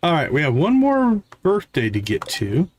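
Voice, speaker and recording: A man's voice: fairly high pitch, huge pitch swings, normal speed, a little hiss or hum. A man giving casual, chatty livestream game commentary, playful and given to singsong humming and repeated words. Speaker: livestream gamer host.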